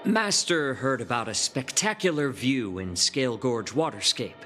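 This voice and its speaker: A man's voice: medium pitch, animated, sharp tone. A lilting, courteous male retainer. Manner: formal, polite, measured. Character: courteous male retainer, smooth, unruffled, slyly polite. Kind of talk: scripted video game voice acting. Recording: game music under the voice.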